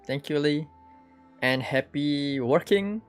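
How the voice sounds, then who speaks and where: A man's voice: fairly high pitch, slow. A man with a Malaysian accent giving casual live reactions as he streams a video game. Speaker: game livestreamer. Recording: game music behind the voice.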